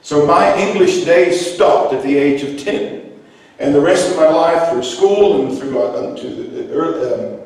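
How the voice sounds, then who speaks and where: A middle-aged man preaching with fervor, his high voice swinging from quiet talk to ringing shouts. He is from New Zealand and grew up in Iceland. The sound comes from far off in a big, echoey church hall with a long tail of reverb. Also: measured pace. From New Zealand, raised in Iceland. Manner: impassioned preaching, rising to shouts. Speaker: pastor. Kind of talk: sermon. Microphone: handheld mic, heard from a distance in the room.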